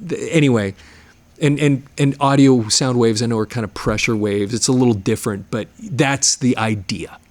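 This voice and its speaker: A middle-aged man talks casually to his viewers, with a voice that sits in an ordinary middle pitch. He rambles, restarting his sentences and repeating words as he explains. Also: normal speed. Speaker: channel host, media digitizing studio owner. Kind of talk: casual explanatory talk to camera.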